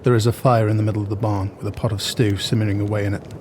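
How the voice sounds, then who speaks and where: Low, mellow, flat male narrator. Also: very fast, slight background noise. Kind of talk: scripted scene narration.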